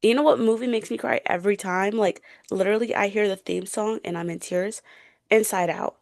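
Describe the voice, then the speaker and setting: A woman's voice: mid-range voice, fairly quick, vocal fry, a little mellow, no echo, studio quality. A woman chatting casually and emphatically about books as a podcast co-host, in an informal way. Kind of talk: casual book chat.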